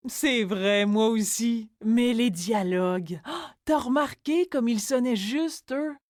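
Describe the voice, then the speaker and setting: A woman's voice: fairly high pitch, takes it slowly, a little mellow, dry recording. Woman as a casual opinionated friend, dry sound. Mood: lively, opinionated. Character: moviegoer friend, mildly contradicting, casual. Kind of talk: casual scripted dialogue.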